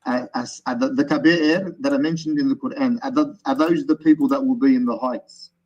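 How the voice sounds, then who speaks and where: A man's voice: medium pitch, steady tone, a little fast, a little mellow. A man calling in to ask a question, stumbling over repeated words and restarts as he speaks. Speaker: caller asking a question. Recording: dull lo-fi call audio.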